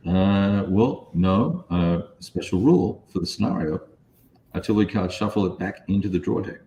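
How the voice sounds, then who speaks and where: A man's voice: deep voice, moderate pace, mellow, highs cut off, a little hiss or hum. A man talking casually as a player working through the rules and moves of a tabletop game.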